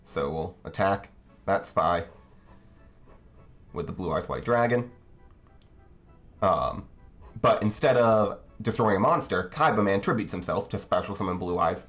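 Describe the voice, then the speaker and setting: A man's voice: low-pitched, a bit bright. A man giving casual commentary on his card game matches, thinking aloud and explaining his plays, with a slightly congested voice. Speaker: card game channel host. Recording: slightly dull recording, faint background music.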